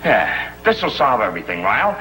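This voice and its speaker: An actor playing a brash, wheedling American neighbor in a 1950s sitcom, insistent and quick to dodge work. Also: male voice, lowish voice, wildly swooping pitch, bright, forceful tone, faint music behind, exaggerated voice. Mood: eager, pushy. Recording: faintly noisy 1950s television soundtrack.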